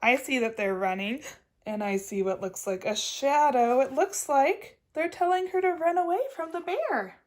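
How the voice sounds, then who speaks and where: A woman reading a picture book aloud as a teacher, expressive and putting questions directly to young listeners. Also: sits high, moderate pace. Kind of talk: animated children's read-aloud with questions.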